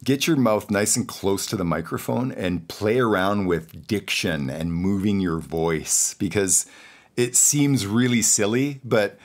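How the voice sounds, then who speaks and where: A man's voice: lowish voice, unhurried. A man hosting an informal, encouraging audio production lesson, explaining studio gear in a casual way and repeating phrases for clarity. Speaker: host and audio production instructor.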